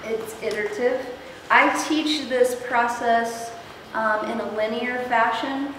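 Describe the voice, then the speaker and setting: A woman's voice: a little high, steady tone, slow pace, fairly bright tone. Woman teaching across an echoey room. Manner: upbeat, many ums, asks the room questions. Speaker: workshop instructor. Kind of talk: interactive workshop presentation. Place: large meeting room with projector. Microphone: far camera, no mic. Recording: echoey room, distant, noisy.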